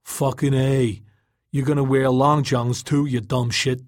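A man's voice: medium pitch, little pitch movement, a little slow, no room sound, studio quality, natural voice. A man voicing a brash, foul-mouthed young working-class guy in an audiobook, mocking and derisive in manner. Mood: amused scorn. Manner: derisive, jeering, coarse. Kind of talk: audiobook character dialogue.

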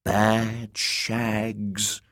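A man's voice: lowish voice, monotone, very slow, a bit bright, pitched above the performer's own voice, heavily acted. A man voicing a coarse, mocking sellsword who talks in a casual drawl. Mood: jeering. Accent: fantasy drawl. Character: jeering male sellsword spearman, coarse and casual.

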